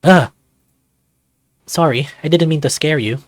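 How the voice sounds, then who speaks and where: A man's voice: on the high side, a little slow, no room sound, pitched above the performer's own voice. Man reading as a friendly, boyish young dragon. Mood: soft, friendly. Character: friendly, eager young male dragon student.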